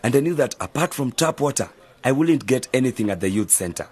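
A man playing a knowledgeable, easygoing mentor in an educational radio drama, explaining things in a measured, articulate way. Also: medium pitch, lively intonation, a little mellow, slightly rough. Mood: friendly, advising. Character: knowledgeable, easygoing male media mentor advising young friends.

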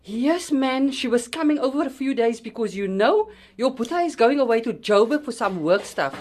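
Woman playing a harried Cape Town mom, high voice. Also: expressive, moderate pace, a little mellow. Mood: flustered. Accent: South African, Cape Town. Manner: exasperated, fussing. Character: harried, strict, fretful middle-aged mother. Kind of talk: amateur radio drama acting.

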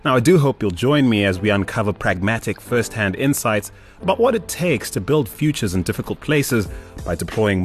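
A man hosting a podcast, speaking in a polished, articulate presenter style. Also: medium pitch. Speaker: podcast host and interviewer. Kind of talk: scripted podcast introduction, then relaxed interview.

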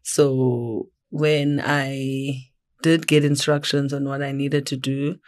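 A South African woman speaks as an interview guest. Her voice is low and soft, and her answers come across as reflective. She mixes English with isiXhosa. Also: little pitch movement, slow pace. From Eastern Cape, South Africa. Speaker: guest, traditional healer.

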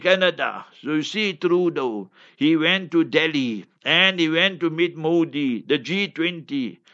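Bright, forceful, high-pitched man preaching politics. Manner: emphatic, blunt, scornful; acts out quotes and rhetorical questions. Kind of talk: fiery political commentary with religious quotations. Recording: dull lo-fi audio.

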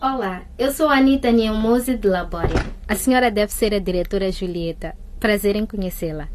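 An actress plays a sixteen-year-old refugee schoolgirl in a radio drama. She speaks politely and with composure, at a middling pitch. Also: normal speed, darkish tone, a little hiss or hum, lightly acted. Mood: polite, composed.

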